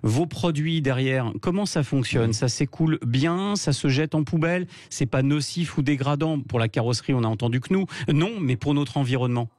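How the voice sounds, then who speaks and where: A man co-hosting a radio show, talking in an outspoken, indignant way with quick asides. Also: medium pitch, a little mellow, no echo, clean studio sound. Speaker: radio co-host. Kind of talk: lively radio chat. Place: radio studio. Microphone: studio microphone.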